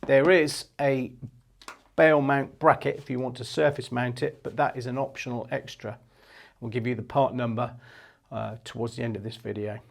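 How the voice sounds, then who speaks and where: Middle-aged man with a gravelly edge. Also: medium pitch, fairly even intonation, moderate pace. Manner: plain, matter-of-fact explaining. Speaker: product presenter for a marine equipment shop. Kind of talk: unscripted product demonstration. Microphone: clip-on lapel mic.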